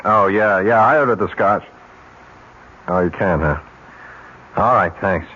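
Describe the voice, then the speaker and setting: A man's voice: low-pitched, unhurried. An actor playing a cynical, obsessive rich man who talks persuasively and sardonically in an old radio drama. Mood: insistent, agitated. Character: cynical, obsessive wealthy man wagering on another's greed. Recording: dull, hissy old radio broadcast.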